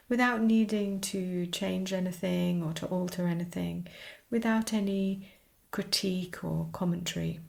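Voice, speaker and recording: A woman teaching a guided meditation, speaking soothingly in short, unhurried phrases with pauses. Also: on the low side, gravelly, a little mellow.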